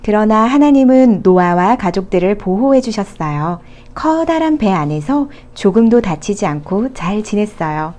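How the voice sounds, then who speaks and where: Mellow woman telling children's stories. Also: medium pitch, animated, normal speed, hardly any pauses. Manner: gentle, explanatory, addressing small children. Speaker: storyteller. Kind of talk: scripted children's Bible storytelling.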